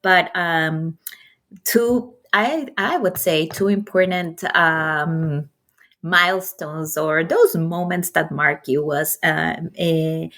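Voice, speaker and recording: A woman's voice: sits low, takes it slowly. A middle-aged woman from Ecuador with a Spanish accent tells her career story casually and animatedly as an interview guest. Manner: animated, chuckles often between phrases. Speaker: interview guest, nonprofit marketing executive.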